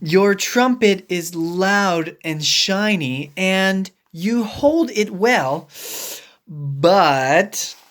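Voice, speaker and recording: A woman voicing a polite, kindly elephant in a read-aloud storybook, speaking in a halting, tactful way. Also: medium pitch, animated. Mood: careful, apologetic.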